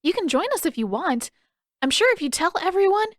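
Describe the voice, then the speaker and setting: A woman voicing an excitable, boastful young teenage boy in an audiobook, exuberant and eager. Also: very high voice, brisk, dry recording, studio quality, voice pushed up. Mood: cheerful. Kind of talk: audiobook character voice.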